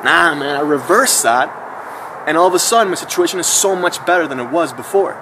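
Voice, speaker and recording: A man's voice: on the high side, fairly quick. Chatty thirty-year-old man, traffic behind. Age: 30s. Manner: relaxed and chatty, with slangy asides. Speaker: vlogger. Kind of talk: casual reflective monologue.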